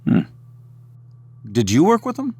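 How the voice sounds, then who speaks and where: Brooding government man: animated bass delivery, dry studio sound, wary, radio drama. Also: a little slow, slightly rough, no echo, exaggerated voice. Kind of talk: audio drama dialogue.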